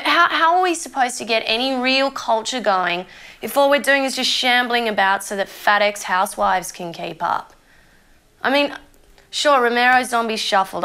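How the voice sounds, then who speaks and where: A woman's voice: medium pitch, bright, forceful tone, smooth, lightly acted. An actress playing an opinionated young female zombie with a conscience, ranting conversationally and swearing freely in an Australian accent, as if interviewed for a mockumentary. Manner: sweary, indignant, conversational rambling.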